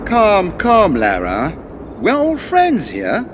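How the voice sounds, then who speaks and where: A man voicing a pompous, excitable French villain in a video game, theatrical and condescending, with mock courtesy. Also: high voice, slow, noisy background, exaggerated voice. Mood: condescending, smug. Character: pompous, excitable French male villain, scheming treasure hunter. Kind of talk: video game cutscene voice acting.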